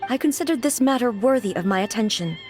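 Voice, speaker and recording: A woman voicing an ancient, stern goddess ruler who speaks in a measured, formal and grave way. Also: on the high side, expressive, soft background music, lightly acted. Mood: solemn. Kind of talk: game dialogue.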